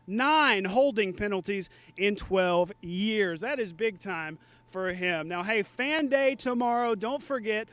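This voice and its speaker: A male sports anchor with a high voice presents a live TV sportscast. He talks conversationally and jokily, as if chatting with fans. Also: a bit bright, dry recording.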